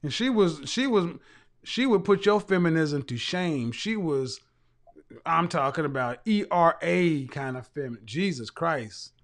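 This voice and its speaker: Man with a slightly high voice, blunt rambling live-stream host. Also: expressive, unhurried. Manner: blunt, rambling, with frequent restarts and profanity. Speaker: livestream host and image consultant. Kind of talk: casual live-stream chat with a guest.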